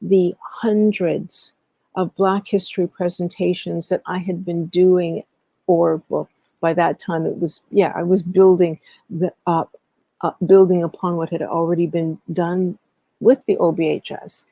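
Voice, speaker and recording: A middle-aged woman speaking as an interview guest, recounting past work in an earnest, deliberate way and pausing mid-thought. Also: fairly low pitch, level tone, vocal fry, dark tone, dry recording. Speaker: guest, historian and educator.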